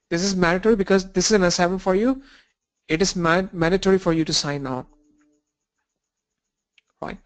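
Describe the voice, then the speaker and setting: A man with a cold and a blocked nose, teaching an online training lesson with halting fillers and restarts. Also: high-pitched. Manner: halting, restarts and fillers, walks through screens. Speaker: instructor.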